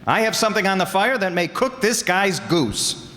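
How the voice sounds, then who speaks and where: A man's voice: high voice, sing-song, moderate pace, over loud music. A man playing a bold, wisecracking amateur sleuth in an old-time radio mystery, with a breezy, cocky delivery. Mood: wry, confident. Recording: reverberant hall stage recording.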